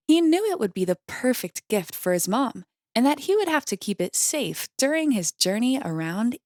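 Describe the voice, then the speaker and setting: High-voiced woman reading a children's story. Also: a little mellow, dry recording, clean studio sound. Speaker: storybook narrator. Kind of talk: children's read-aloud narration. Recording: clearly noisy background.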